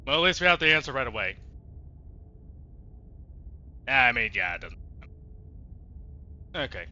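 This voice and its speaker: A man streaming a video game with casual, jokey, profanity-laced commentary and lively reactions. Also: medium pitch, unhurried, muffled recording. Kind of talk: casual let's play commentary.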